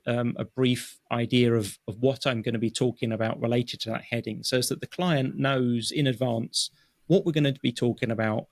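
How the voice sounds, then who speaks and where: A man's voice: mid-range voice, dry recording. Thoughtful man with a dry close sound. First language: English. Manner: thoughtful, many fillers and restarts. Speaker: guest, technical writer. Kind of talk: conversational interview. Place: home office.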